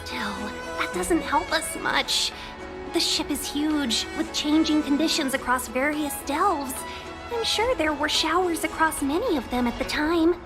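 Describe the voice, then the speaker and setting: A high-pitched young female official from a video game. She explains things in a composed, articulate, measured manner, over light music. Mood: calm, analytical.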